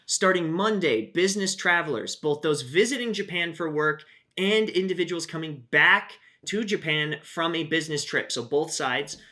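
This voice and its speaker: Lively, bright-voiced man chatting wryly. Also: on the high side. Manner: chatty, wry, frequent "uh" fillers. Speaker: YouTube host. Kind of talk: casual commentary on news.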